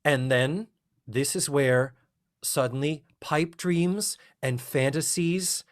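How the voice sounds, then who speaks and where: Male podcast host, lively and playful, recaps scenes and acts out lines. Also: fairly high pitch, slow. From lives in Central Florida. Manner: animated, acts out lines in raised mock voices. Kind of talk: casual recap chat.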